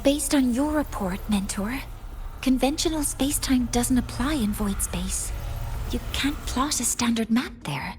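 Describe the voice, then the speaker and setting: A woman's voice: a little high, unhurried, darkish tone, natural voice. A woman playing an earnest young scientist in a video game, speaking formally and precisely as she explains things. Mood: focused, concerned. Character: earnest young female scientist, briefing her mentor. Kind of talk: scripted game dialogue. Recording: music behind the voice.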